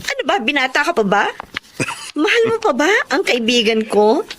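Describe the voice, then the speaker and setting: A woman's voice: high voice, sing-song, moderate pace, a bit bright, a little hiss or hum. A woman playing a bubbly, teasing, gossipy best friend in a Filipino drama, gushing and playful. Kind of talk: Filipino radio drama acting.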